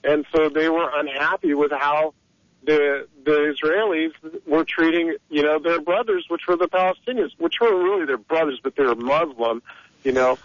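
A man's voice: fairly high pitch, moderate pace, no room sound. Heated male caller on a dull phone line. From Egypt. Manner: heated, rambling, opinionated. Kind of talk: call-in radio talk. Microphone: telephone.

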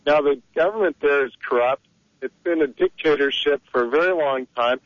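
A man's voice: on the high side, brisk, dry recording. A man calling in to a radio talk show, talking in a heated, rambling, opinionated way over the phone. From Egypt. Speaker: caller. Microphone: telephone. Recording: dull lo-fi phone line.

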